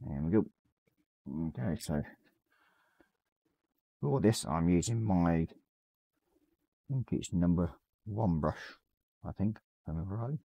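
A man in his forties with a slightly croaky voice, casually chatting on a live stream and reading out viewer messages as he paints. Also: sits low, measured pace, pauses often, no echo, dull audio. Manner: reads chat messages aloud, relaxed and rambling. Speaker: livestream host. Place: small hobby room at home.

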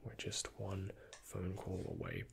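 A young man speaking in a breathy, hushed ASMR whisper, gently and unhurriedly, close to the microphone as in a doctor roleplay. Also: low-pitched, wildly swooping pitch, unhurried, background noise. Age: young adult. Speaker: ASMR creator playing a doctor. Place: indoors, close before a dark backdrop.

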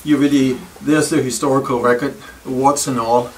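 An older man with a Danish accent gives a reflective talk in a wood-paneled library room. His tone is thoughtful and wry, with pauses and self-deprecating asides. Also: mid-range voice, fairly even intonation. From Denmark. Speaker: speaker giving a library talk. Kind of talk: reflective public lecture. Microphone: far camera. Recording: distant room recording with faint noise.

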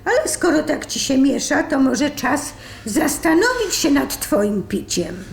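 A woman playing a sharp, sarcastic, fed-up live-in partner in a radio drama, brisk and sardonic. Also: sits high, big pitch swings, gravelly, heavily acted. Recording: roomy sound with faint noise.

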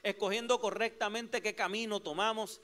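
A man's voice: high voice, steady tone, moderate pace, bright, forceful tone, a little hiss or hum. A man in his thirties with a Puerto Rican accent, preaching a fervent sermon from a church pulpit into a handheld mic. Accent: Puerto Rican Spanish. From Puerto Rico. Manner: fervent preaching, frequent "gloria al Señor" refrains. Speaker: pastor.

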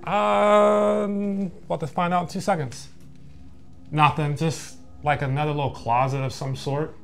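A man's voice: fairly high pitch, little pitch movement, measured pace, vocal fry, soft background music. A man casually wondering aloud about what he finds while exploring an abandoned factory. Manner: laid-back, wondering aloud, rambling. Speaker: urban explorer host. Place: abandoned factory building, indoors.

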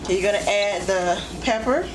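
A woman's voice: mid-range voice, moderate pace. A woman hosting a home cooking demonstration, giving plain step-by-step instructions as she works at the stove. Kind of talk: hands-on cooking demonstration narration. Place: indoors, home kitchen at the stove. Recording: echoey kitchen, loud sizzle and stove noise.

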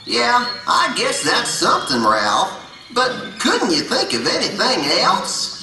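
Folksy, slow-talking puppet critter, acted out by a performer. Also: mid-range voice, huge pitch swings, background noise, full character voice. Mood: patient, explaining. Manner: folksy drawl, dropped endings, gently explaining. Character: folksy, patient animal puppet character, Elmer. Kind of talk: children's religious puppet show dialogue. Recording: echoey hall, distant mic, music behind.

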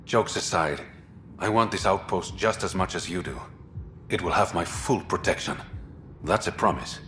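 A suave smuggler who secretly runs the underworld for a video game. His voice is low and bright-edged, with teasing confidence and faint music behind it. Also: moderate pace. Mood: wry, assured. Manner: smooth, teasing, self-assured. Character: suave, wry male smuggler and secret crime boss. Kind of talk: cinematic game dialogue acting.

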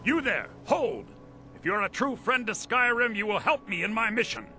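A man's voice: high-pitched, sing-song, normal speed, noisy background. An actor playing an earnest, commanding Imperial army captain in a fantasy game, speaking formally as he explains his mission. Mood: commanding, urgent. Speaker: game character. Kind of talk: scripted fantasy game dialogue.

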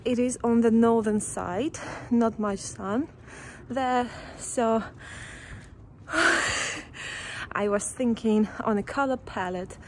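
Young woman vlogger, unhurried and chatty with frequent laughs, selfie phone outdoors, faint street ambience. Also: fairly high pitch, a little mellow, a little hiss or hum. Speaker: renovation vlogger. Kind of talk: casual walking vlog. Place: outdoors on a quiet village street. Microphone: phone held at arm's length.

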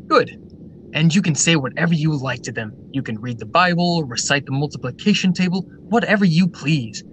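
A man's voice: on the high side, lively intonation, normal speed, a bit bright, slight background noise, rough recording. A man acting a confident, idealistic robot factory director in a radio drama, declamatory and polished. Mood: grandly assured.

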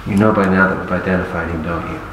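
An actor playing a calm adult investigator who gently coaxes a young witness. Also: male voice, low voice, racing, lo-fi sound, close to an everyday voice. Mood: coaxing. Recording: echoey, distant, noisy.

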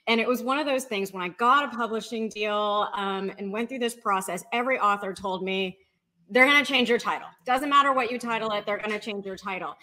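A woman's voice: medium pitch, brisk, pushed and bright, rough recording. A woman speaking casually as a guest author in an interview over a video call. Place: indoor room with bookshelves, video call.

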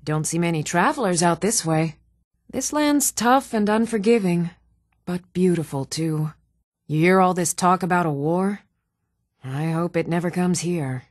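An actress playing an earnest, dutiful woman of a fantasy realm in a video game, speaking in a measured, composed and formal way. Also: fairly low pitch, no room sound, clean studio sound. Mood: matter-of-fact.

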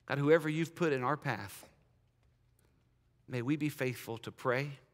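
A man in his thirties, a pastor, earnestly urging his congregation and then praying softly, recorded with a lapel mic in a large church sanctuary. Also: medium pitch, a little slow. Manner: earnest, urging, drops soft in prayer. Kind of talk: sermon invitation and prayer. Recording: church hall reverb.

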